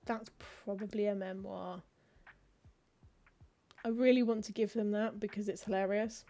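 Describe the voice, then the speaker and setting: Chatty woman, soft mellow voice. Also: mid-range voice, steady tone, normal speed. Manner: chatty, wry, muttering lists of titles to herself. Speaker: streamer playing a cozy game. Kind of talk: casual gameplay commentary, reading game text aloud.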